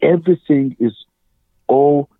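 A man's voice: a little high, slow pace, dry recording, narrow, tinny audio. A man with a Ugandan accent, a guest earnestly explaining his views with examples and quoted questions. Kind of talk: conversational interview.

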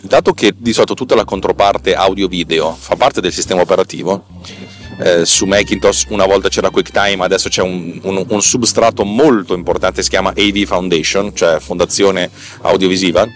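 A man with a fairly low voice talks like a podcast host explaining technical things. His delivery is informal and colloquial, with halting phrasing as he often corrects himself mid-sentence. He comes across as self-deprecating and unpolished. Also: steady tone, soft background music.

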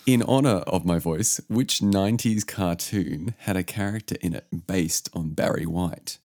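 Very deep-voiced man with the flu. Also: a little slow, a little mellow, no room sound, studio quality. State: sick with the flu, voice lowered. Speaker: podcast host. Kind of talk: casual music podcast chat.

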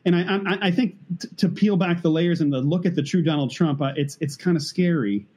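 A man's voice: fairly high pitch, a little fast, a little mellow. A man in a podcast conversation, speaking hesitantly with many repeated words.

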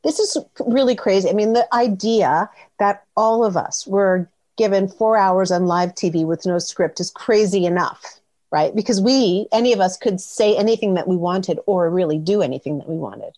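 A woman's voice: mid-range voice, moderate pace, a little mellow. Woman asking probing questions. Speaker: interviewer. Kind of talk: conversational interview.

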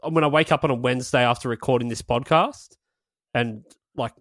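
A man's voice: mid-range voice, fairly quick, fairly bright tone, no echo, clean studio sound. A man co-hosting a podcast in casual chat, swearing freely and laughing often. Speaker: podcast co-host.

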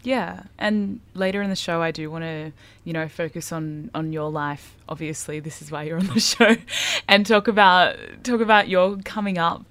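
A woman's voice: fairly low pitch, fairly even intonation, normal speed, few pauses, fairly bright tone, dry recording. A woman hosting a radio interview, asking curious questions and leading into a song. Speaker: radio show host.

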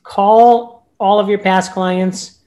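A man with a notably high-pitched voice, a real estate agent speaking as a podcast guest in an upbeat, emphatic way. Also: fairly even intonation, slow, dark tone. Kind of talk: casual podcast interview.

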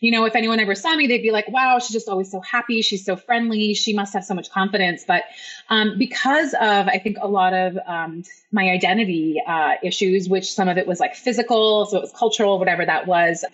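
A woman's voice: mid-range voice, fairly quick, a bit bright, dull audio. A woman guest, a life coach, talking in a bubbly, chatty way about her life. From Iran, raised in Canada. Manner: bubbly, talkative. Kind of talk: podcast interview.

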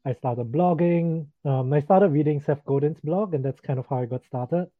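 A man's voice: on the high side, normal speed, dry recording. Young man from Singapore on a tinny line. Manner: casual, many fillers like "like" and "you know", easy laughs. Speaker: guest, digital freelancer. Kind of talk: casual interview chat. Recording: tinny phone-like remote line, dry.

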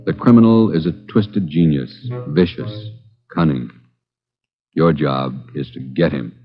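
Grave, booming-deep 1940s radio announcer. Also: male voice, unhurried. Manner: grave, emphatic. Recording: dull 1940s radio broadcast with music.

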